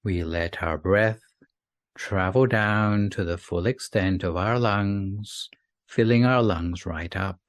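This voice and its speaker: A man with a fairly low voice guides a sleep meditation. He speaks very slowly and gently, leaving long pauses between soothing phrases. The sound is dry and close, with very little room. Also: no room sound.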